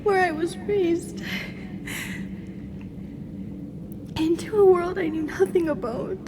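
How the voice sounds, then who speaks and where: A woman voicing a lonely, confused, gentle drider in a roleplay ASMR monologue, speaking softly and haltingly, often in a breathy whisper. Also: very high voice, steady tone. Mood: tearful, anguished. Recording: clearly loud background noise.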